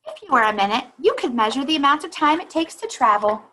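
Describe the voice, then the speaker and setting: Animated woman reading aloud to children. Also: high-pitched. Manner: instructive, pauses to think aloud and explain. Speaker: read-aloud teacher. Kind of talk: children's picture book read aloud with teaching asides.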